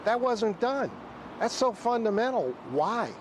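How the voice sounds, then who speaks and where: A man's voice: very high voice, sing-song, a little slow, slight background noise. A man giving formal interview comments as a watchdog official. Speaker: watchdog group president being interviewed.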